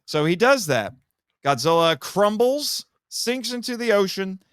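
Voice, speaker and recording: High-pitched, clear-voiced man recapping a film. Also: sing-song, a little slow, a bit bright, no room sound. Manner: dramatic storyteller, acting out characters' lines. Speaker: podcast guest reviewing a film. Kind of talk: animated plot recap, casual chat.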